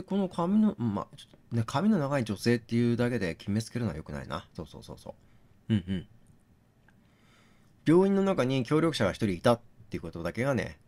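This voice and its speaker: A man doing gaming commentary, thinking out loud and reasoning step by step, mixed with reading text aloud. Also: medium pitch, very animated, a little fast. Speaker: game streamer reading on-screen text aloud.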